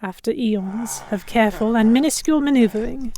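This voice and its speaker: A woman narrating in first person as a composed captive in a historical pirate audio drama, with measured, dramatic storytelling. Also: fairly high pitch, dark tone, lightly acted. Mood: measured, tense. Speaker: narrator and lead actor.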